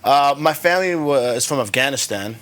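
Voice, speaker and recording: A man who grew up in Germany, a filmmaker guest answering interview questions in a relaxed, hesitant way with many fillers. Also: medium pitch, brisk.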